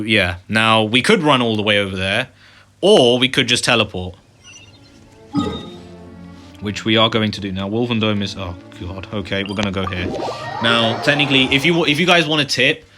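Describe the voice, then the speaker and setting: A man giving casual, rambling commentary while playing a video game, with frequent fillers and muttered asides. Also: mid-range voice. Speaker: gaming video host. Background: game music and sound effects.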